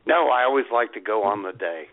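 A man's voice: fairly low pitch, animated, fairly quick. A man co-hosting a chat show, giving short, teasing reactions and exclamations. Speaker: podcast co-host. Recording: phone-like lo-fi audio.

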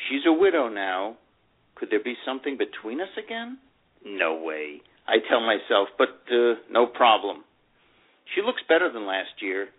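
A man's voice: on the low side, big pitch swings, a little slow. A man playing a proud, lovelorn older divorced man, reading his memoir in an earnest storytelling manner. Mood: guarded longing. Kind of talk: dramatic first-person memoir reading. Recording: phone-like narrow radio sound.

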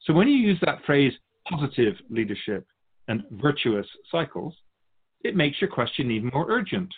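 A man voicing a wise, patient spirit who observes humankind, explaining and questioning in a measured conversational way on a radio show. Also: medium pitch, sing-song, slightly rough. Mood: thoughtful. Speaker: guest sage on a radio show. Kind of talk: conversational philosophical teaching. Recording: thin phone-like band.

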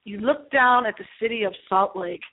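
A woman hosting a live radio show in a rambling, casual, playful way, with theatrical drawn-out asides. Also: mid-range voice. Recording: thin, phone-like internet broadcast audio.

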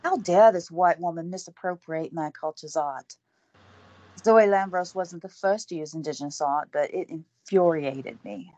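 A woman's voice: lowish voice, moderate pace, darkish tone, lightly acted. A woman playing a flustered, earnest young woman in an audio drama.

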